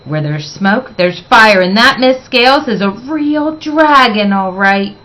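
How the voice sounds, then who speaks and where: A woman's voice: fairly high pitch, expressive, takes it slowly, pushed and bright, exaggerated voice. A woman voicing a wary, knowing young schoolboy in a storybook, emphatic and conspiratorial.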